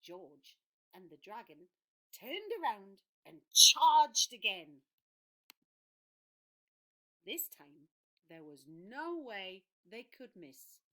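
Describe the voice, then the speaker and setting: Slow, animated woman reading a children's story. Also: mid-range voice, low-quality recording. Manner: dramatic, deliberate, clearly phrased. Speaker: storyteller for an English school.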